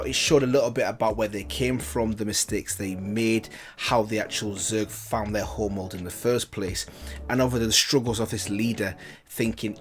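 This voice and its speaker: A man hosting a reaction video, giving casual review commentary in a rambling way, often rephrasing himself. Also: on the low side.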